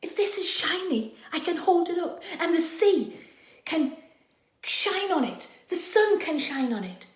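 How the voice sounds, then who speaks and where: Woman playing a high-voiced, pleading stranded girl. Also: animated, unhurried. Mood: anxious, hopeful. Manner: pleading, earnest, calling out loudly. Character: scared, stranded girl desperate to be rescued. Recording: echoey room.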